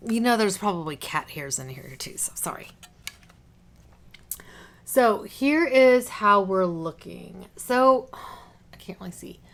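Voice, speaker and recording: A woman's voice: mid-range voice, lively intonation, slow, pauses often. A woman vlogging casually to camera, chatty and informal with a tendency to wander off topic, slightly congested with a cough drop in her cheek. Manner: chatty, informal, prone to tangents. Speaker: beauty vlogger.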